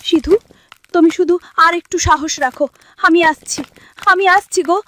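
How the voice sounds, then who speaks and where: Lady doing a devoted wife in a cartoon, high pitched, emotional and determined, bit of background noise. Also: fairly even intonation, normal speed, a bit bright. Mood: desperate, resolute. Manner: emotional, fervent. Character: Mithila, determined devoted wife fighting to save husband. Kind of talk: Bengali cartoon drama acting.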